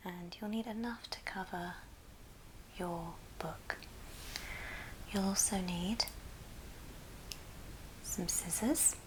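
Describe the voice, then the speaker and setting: A woman whispering softly and breathily as she gently hosts an unhurried ASMR craft tutorial, explaining things step by step. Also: fairly low pitch. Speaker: host of an ASMR craft channel. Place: indoors at a friend's house. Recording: clearly noisy room, clicking sounds.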